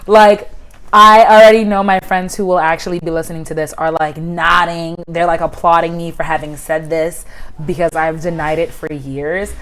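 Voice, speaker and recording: Woman, 20s, podcast host; animated, exaggerating storyteller, slightly low pitch. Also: moderate pace. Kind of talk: casual chat.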